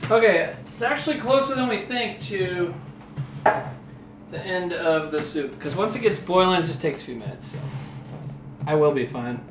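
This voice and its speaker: A man's voice: high voice, expressive, normal speed, pauses often. A man in his forties chatting loosely while he cooks at home, telling rambling stories with laughs and the odd swear word, a little tipsy from rum. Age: 40s. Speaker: comedian streaming while cooking. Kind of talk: casual rambling chat. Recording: echoey room with faint background noise.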